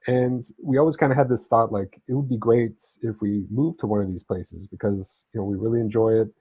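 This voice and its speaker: A man's voice: fairly low pitch, fairly even intonation, a little fast, warm tone, breathy edge, no echo, highs cut off. A man who grew up in southern Spain talks conversationally as an interview guest, telling his own story in an easygoing, explanatory way. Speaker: interview guest, freelance writer and travel correspondent.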